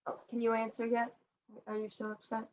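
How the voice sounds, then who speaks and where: Kindly, rough-edged older janitor played by a young woman, stage play, chatty and folksy; higher voice, dull recording. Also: monotone, a little mellow, a little hiss or hum, lightly acted. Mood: gentle, reflective. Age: young adult. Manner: folksy, rambling, halting. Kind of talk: student one-act stage play acting.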